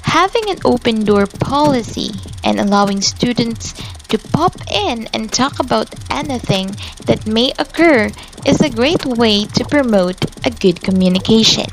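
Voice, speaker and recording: Slow, higher-pitched woman narrating a lesson. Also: lively intonation, over music, poor audio. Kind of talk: scripted narration.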